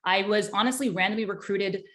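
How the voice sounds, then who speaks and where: A woman interview guest talking conversationally, in a candid, excitable and talkative way. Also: medium pitch, fairly quick. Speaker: tech startup executive, interview guest.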